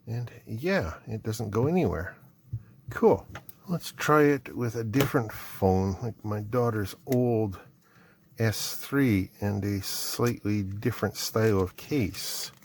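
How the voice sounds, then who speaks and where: A man's voice: on the low side, slight background noise. A middle-aged man from Canada casually chatting as he opens and tries out items from his mail, thinking aloud as he goes. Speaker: vlogger opening mail. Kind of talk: casual unboxing chat.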